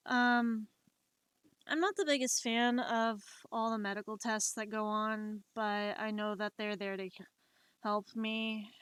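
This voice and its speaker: A young adult woman chatting casually while she draws on a live stream, unhurried and rambling, with many fillers and restarts. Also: mid-range voice, a bit bright, clear, resonant tone, no echo. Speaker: livestreamer.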